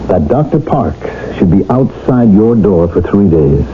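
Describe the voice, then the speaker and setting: An actor playing a middle-aged doctor who tells his tale in a measured, grave radio drama style. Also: fairly low pitch, very animated, slight background noise. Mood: grave, reflective. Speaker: narrator and doctor character. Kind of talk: radio drama acting, storytelling. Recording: dull lo-fi old radio broadcast.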